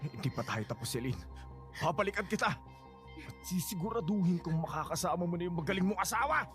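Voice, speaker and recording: A man's voice: high voice, expressive, fast talker, fairly bright tone, soft background music. An actor playing a threatening, violent male killer in a radio drama, snarling at a woman. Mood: pained, threatening. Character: menacing male killer turning violent on the widow.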